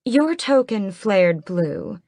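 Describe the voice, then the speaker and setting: A woman's voice: medium pitch, big pitch swings, takes it slowly, gravelly, darkish tone, no room sound, studio quality, pitched above the performer's own voice, exaggerated voice. A woman voicing a haughty, ageless vampire queen who speaks in cold, mocking commands. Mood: scornful.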